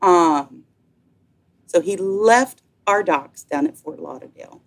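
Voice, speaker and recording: Female, lower register, casual chat, enthusiastic, sales rep guest. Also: takes it slowly, creaky. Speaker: guest, yacht sales representative. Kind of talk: casual chat, telling an anecdote.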